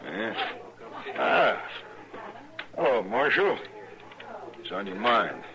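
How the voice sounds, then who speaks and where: A man's voice: lowish voice, big pitch swings, takes it slowly, pushed and bright, full character voice. A man playing an evasive, guarded frontier freight boss who bristles, in a radio western. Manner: guarded, indignant. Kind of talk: old-time radio western acting. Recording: old radio recording.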